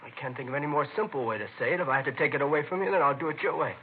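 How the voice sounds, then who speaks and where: An actor playing a defiant, desperate eighteen-year-old American son, insolent and insistent, in old-time radio drama style. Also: male voice, a little high, lively intonation, rapid-fire. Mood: defiant, demanding. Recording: tinny, hissy vintage radio broadcast.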